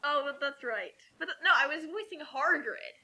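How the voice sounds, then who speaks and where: A woman's voice: high voice, animated, moderate pace, sharp tone. A woman giving casual, opinionated, sarcastic comic commentary as a co-reader.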